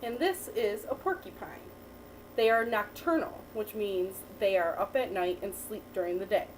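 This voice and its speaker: A woman teacher reading a picture book aloud to a child, pausing to ask questions and praise the answers. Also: medium pitch. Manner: patient, encouraging, asks and praises. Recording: distant mic with faint background noise.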